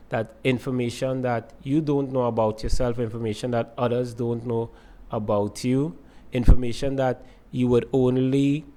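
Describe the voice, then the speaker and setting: Man giving a measured lecture. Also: medium pitch, darkish tone. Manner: explains step by step with repeated phrasing. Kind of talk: recorded lecture to students.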